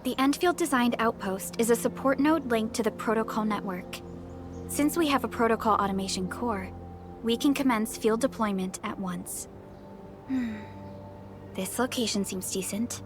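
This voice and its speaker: An actress playing a composed, reassuring female mission advisor in a video game, speaking in a measured, formal way. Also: on the high side, natural voice. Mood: businesslike. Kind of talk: dramatic video game voice acting. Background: game music.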